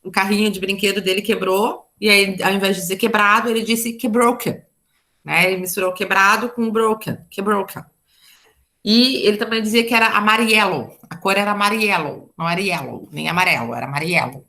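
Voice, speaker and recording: Bright, gravelly Brazilian woman teaching. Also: medium pitch, steady tone, brisk. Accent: Brazilian Portuguese. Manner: chatty, explains with asides and "tá?". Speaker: English teacher. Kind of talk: online class lesson.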